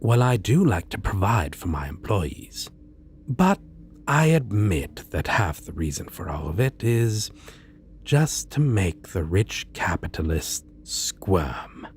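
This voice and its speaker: A man playing a polite, self-assured supervillain in an ASMR roleplay, speaking in a pleasant, businesslike and theatrically measured way. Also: low-pitched, big pitch swings, slight background noise, full character voice. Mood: gleeful. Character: polite, self-assured male supervillain interviewing a minion recruit.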